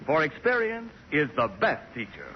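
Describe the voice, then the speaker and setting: A male announcer reading a forties radio commercial. His delivery is highly animated and a bit high in pitch, on muffled sound with clear noise. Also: moderate pace. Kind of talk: 1940s radio advertising read. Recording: noisy, muffled old radio broadcast.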